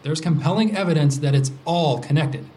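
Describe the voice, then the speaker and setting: A man's voice: a little high, moderate pace, darkish tone, close to an everyday voice. An actor playing a brash, sarcastic, vindictive man in an audio drama support group. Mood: smug. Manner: sarcastic, provocative.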